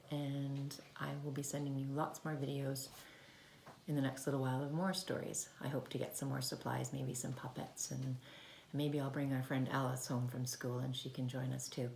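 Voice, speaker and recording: Low-pitched woman reading to young children. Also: gravelly, darkish tone. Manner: simple, child-directed explaining with asides. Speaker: early childhood teacher reading a story to children. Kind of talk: read-aloud storytelling for young children. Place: indoors at home. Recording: roomy home recording with faint noise.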